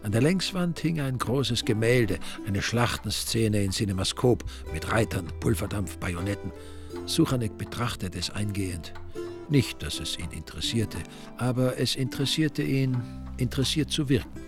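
An actor narrating a radio crime drama in a dry, ironic, literary way. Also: male voice, fairly low pitch, expressive, moderate pace. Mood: dry, wry. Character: wry male narrator of a village crime story. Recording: music behind the voice.

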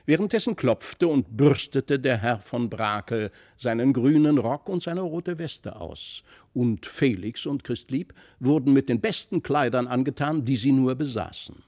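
A man's voice: mid-range voice, lively intonation, unhurried, few pauses, a little mellow. A man reading a literary fairy tale as narrator, phrasing it carefully and expressively in scripted storytelling style. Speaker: narrator, audiobook reader.

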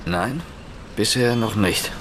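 An actor playing a sober, guarded police inspector in a radio drama, speaking tersely and matter-of-factly. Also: male voice, on the low side, takes it slowly, fairly bright tone, slight background noise. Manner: terse, matter-of-fact questioning. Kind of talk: radio drama dialogue and inner monologue.

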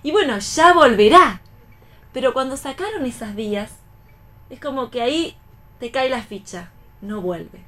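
A woman's voice: sits high, expressive, a little slow, pushed and bright. A woman with an Argentine accent talking casually and reflectively as a radio panelist.

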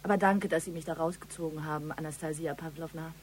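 A woman's voice: low voice, steady tone, quick pace, a little hiss or hum, natural voice. An actress playing an effusive, girlish young shop assistant in a German radio crime drama. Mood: puzzled, grateful. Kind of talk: German radio play dialogue.

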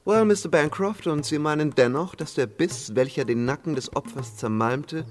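A man playing an English gentleman investigator in a German radio play, formal and theatrical in his questions and exclamations. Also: on the high side, normal speed, faint music behind, natural voice. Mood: inquisitive.

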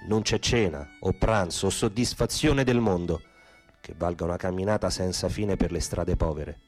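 A man's voice: deep voice, normal speed. A man delivering theatrical, poetic scripted narration for a radio play, with music in the background.

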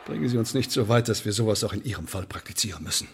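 An actor playing a menacing, sardonic Elizabethan English sheriff in a German radio drama, officious and mock-polite, with deliberate emphasis. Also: male voice, lowish voice, slight background noise, lightly acted. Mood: mock-polite menace.

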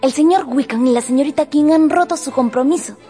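An actress playing an excitable, gossipy young sister in a period romance radio drama, chatting eagerly. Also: female voice, high voice, fairly quick, faint music behind, natural voice. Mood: excited. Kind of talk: Spanish-language radio drama acting.

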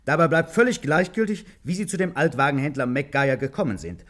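Brief: needling police inspector, politely menacing, fairly high voice, very animated; 1950s radio crime comedy. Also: male voice, fast. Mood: insistent, precise. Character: male police inspector, sly, mocking, relentless interrogator.

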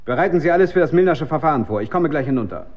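A man's voice: a little high, lively intonation, very fast, slight background noise, lightly acted. A man in a German radio drama playing a tormented research chemist who is losing his grip on reality, intense and urgent. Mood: agitated, insistent. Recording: dull, band-limited radio recording.

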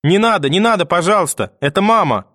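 A man's voice: high voice, expressive, fast talker, a bit bright, no echo, studio quality, pitched above the performer's own voice. A man voicing an anxious, pleading little boy in an audiobook. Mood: frightened pleading. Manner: pleading, childlike. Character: anxious little boy clinging to his grandmother. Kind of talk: audiobook character acting.

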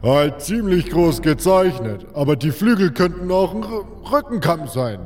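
An actor playing a blunt, sceptical warrior companion in a fantasy audio drama. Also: male voice, a little high, lively intonation, measured pace, a little mellow. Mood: doubtful. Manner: blunt, dismissive. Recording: long hall-like reverb.

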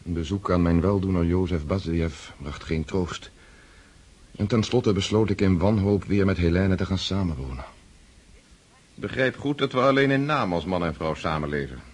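A man's voice: low-pitched, normal speed. A man acting in a radio drama as a bitter, brooding aristocratic husband and freemason, speaking formally and curtly.

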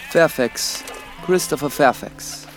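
A man's voice: a little high, takes it slowly, soft background music, poor audio. A man playing an earnest, dutiful young priest in a radio drama, speaking formally and precisely. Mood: courteous, formal. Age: young adult.

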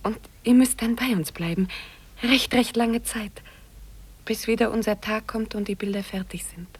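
An actress playing an earnest, wistful young village girl in a 1950s German radio drama, hesitant and often dropping to a hush. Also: on the high side, fairly even intonation, normal speed, slightly rough. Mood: shyly hopeful. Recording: 1950s radio play from cassette tape.

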